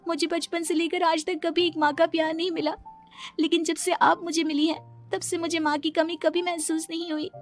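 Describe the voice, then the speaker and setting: A woman plays a devoted young daughter and bride in a cartoon moral tale. The voice sits high and sounds earnest and pleading. Light music runs behind it. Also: flat delivery, normal speed. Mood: tearful, pleading. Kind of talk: dramatized cartoon moral story.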